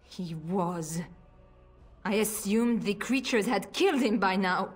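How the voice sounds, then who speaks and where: A woman's voice: medium pitch, animated, takes it slowly, slightly rough, soft background music. A woman playing an anguished, protective noble mother in a fantasy video game, pleading and emotional.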